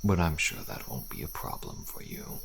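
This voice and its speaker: A very deep, gravelly fantasy deserter. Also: male voice, very animated, slight background noise, exaggerated voice. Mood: patient, instructive. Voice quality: very deep, gravelly, breathy at times. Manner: slow, archaic, deliberate, often dropping to a murmur. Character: wary frail old deserter, helpful dark-fantasy wanderer. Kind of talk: ASMR audio roleplay.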